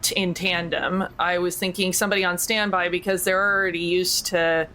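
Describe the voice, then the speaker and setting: Faint noise sits behind a woman with a slightly low voice. She is a player at a tabletop game, talking casually and working through her choices out loud in a tentative way. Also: fairly even intonation, normal speed.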